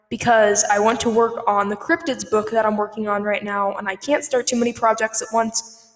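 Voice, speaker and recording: A woman with a fairly high voice addresses podcast listeners as host. She speaks earnestly and leans on key words. A filter leaves her voice very echoey, with a long reverb tail. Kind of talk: scripted-feeling podcast announcement to listeners.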